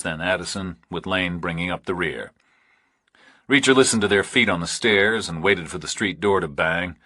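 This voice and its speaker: A man narrates a thriller audiobook from a script. His voice is very low and husky, and the recording is close and dry. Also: sharp tone, no room sound.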